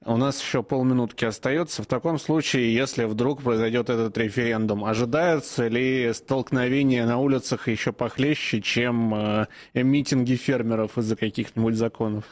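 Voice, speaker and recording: A male radio host puts short, measured questions to his guest. He keeps the exchange moving and wraps up the segment in a steady broadcast manner, at an ordinary pitch for a man. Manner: brief, measured questions and sign-off.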